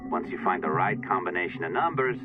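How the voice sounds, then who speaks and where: A secretive office tech guide talking over a radio. He is smug and casual in a mid-low everyday voice, muffled, with clear music behind. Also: expressive, quick pace. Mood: wry, instructing. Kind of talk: conversational video game acting. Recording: dull radio sound with loud music.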